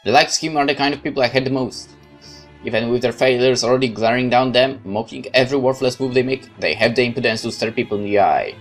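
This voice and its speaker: A man narrating a horror visual novel in first person, calm, measured and brooding. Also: medium pitch, soft background music. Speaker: let's play host narrating a visual novel.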